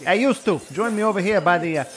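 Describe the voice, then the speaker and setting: A man's voice: sits high, fast, natural voice. An actor playing a bumbling male who hesitates and mangles his grammar. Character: shady male mob brother, bumbling.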